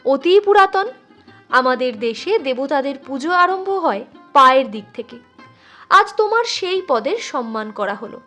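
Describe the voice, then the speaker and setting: Lady reading as a sharp-tongued big sister in a story, high voice, emphatic, music behind. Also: sing-song, unhurried, exaggerated voice. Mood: firm. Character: proud, protective, sharp-tongued elder sister and schoolmistress.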